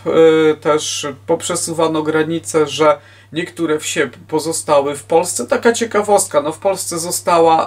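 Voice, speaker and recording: Higher-pitched man lecturing, recorded from afar. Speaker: historian giving a lecture. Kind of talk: informal history lecture to camera. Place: book-lined room at home, indoors. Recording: distant microphone in a room.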